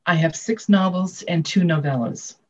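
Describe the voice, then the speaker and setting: An older American woman with a husky voice, answering interview questions with reflective storytelling. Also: lowish voice, moderate pace, darkish tone. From Massachusetts, United States. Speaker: guest author. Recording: dull, muffled lo-fi audio.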